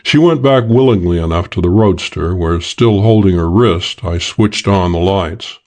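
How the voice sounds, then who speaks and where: A man narrates a crime story in the first person, his voice sitting on the low side. His delivery is measured and dry, in a steady hardboiled manner suited to an audiobook.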